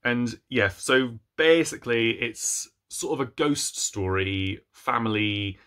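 A man's voice: mid-range voice, slow, noisy background. A young adult man chatting casually to camera as a book reviewer, in a hesitant, self-deprecating way. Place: small room at home, indoors.

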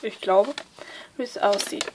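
A woman with a cold and a stuffy nose, chatting excitedly with lots of fillers as she opens and reads letters at a table. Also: medium pitch, a little slow, noisy background. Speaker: vlogger opening a card-swap letter. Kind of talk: casual unboxing chat, reading letters aloud. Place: indoors at a table, hands-only overhead view.

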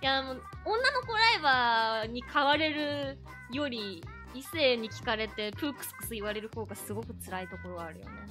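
A woman's voice: a little high, expressive, normal speed, bright, forceful tone. A woman with a cutesy, giggly manner hosting a casual livestream chat. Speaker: streamer, hosting a call-in guest. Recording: background music under the voice.

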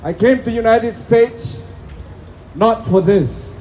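A man with an Indonesian accent preaches and prays in broken English at an outdoor plaza rally. His voice sits very high and his pace is slow and deliberate. Faint noise runs under a phone recording. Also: mellow. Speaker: speaker at a rally. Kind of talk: impassioned rally speech and prayer. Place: outdoor city plaza with a crowd.

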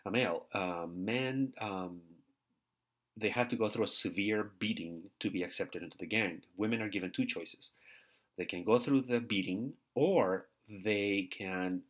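A man's voice: lowish voice, unhurried, a bit bright. A man, giving a recorded university lecture as a teaching assistant, hesitant with many fillers and repeated words. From Peru. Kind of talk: recorded lecture over slides.

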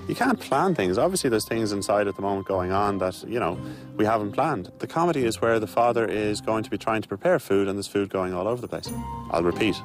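A man's voice: on the low side, expressive, fast, music in the background. A man, a contestant talking animatedly and directing others on set. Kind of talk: reality show on-set talk.

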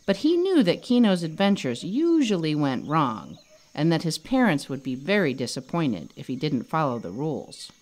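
A woman reading a children's story as narrator, expressive and dramatic. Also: low-pitched, moderate pace. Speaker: author reading own storybook.

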